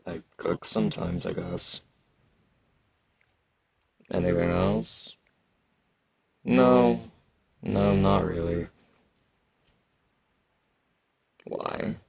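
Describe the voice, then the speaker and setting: A man acts out a gruff, aloof knight bred for war in an ASMR fantasy roleplay. His deep voice is heavily creaky and husky, with sibilant S sounds. He talks in terse, halting phrases, socially awkward and low-key, close to the mic in a slightly dull recording. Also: a little mellow, full character voice. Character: gruff, aloof young battle-hardened genetically modified fantasy knight.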